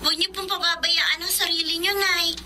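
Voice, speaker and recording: A polite young daughter in a Filipino radio drama. Her voice is extremely high, gentle and respectful, with clear noise behind it. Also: little pitch movement, moderate pace. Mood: caring. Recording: noisy ambience behind the voice.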